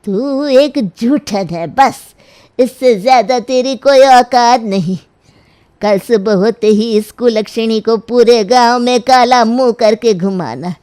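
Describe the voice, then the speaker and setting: A woman's voice: fairly high pitch, a little mellow. A woman playing a cruel, domineering village in-law in a melodramatic audio story, accusing and commanding with open scorn.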